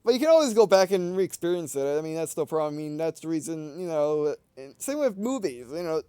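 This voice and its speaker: A man's voice: a little high, sing-song, fairly quick, warm tone, clean tone, dry recording, studio quality. A man giving casual, unscripted game commentary as a let's-play host, rambling and hesitant, often correcting himself mid-sentence.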